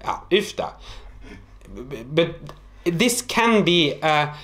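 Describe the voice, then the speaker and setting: Animated Norwegian man explaining to camera. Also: fairly high pitch, takes it slowly. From northern Norway. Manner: animated, expressive, hesitant restarts. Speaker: host. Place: small apartment room, indoors.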